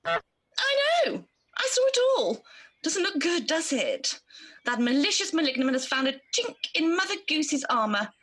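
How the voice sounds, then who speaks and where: A woman's voice: sits high, sing-song, moderate pace, fairly bright tone. A woman playing an earnest, protective good fairy, speaking theatrically in a radio pantomime. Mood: worried.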